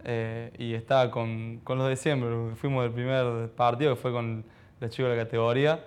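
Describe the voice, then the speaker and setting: A man of about twenty with an Argentine accent, giving modest, halting answers as a footballer in a casual interview. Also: mid-range voice, flat delivery, smooth. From Argentina, childhood in Ecuador. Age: around 20. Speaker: professional footballer being interviewed.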